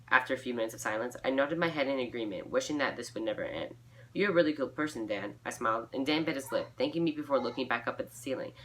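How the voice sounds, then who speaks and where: A woman's voice: extremely low pitch, fairly bright tone. A young woman reading her fan fiction aloud informally, breaking off for giggly, self-mocking asides.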